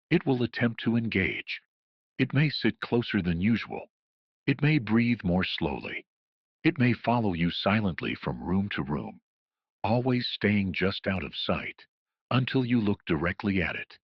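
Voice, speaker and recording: A man's voice: on the low side, husky. A man narrating a scripted horror emergency broadcast as a solemn, ominous official announcer, in muffled lo-fi audio with a roomy echo.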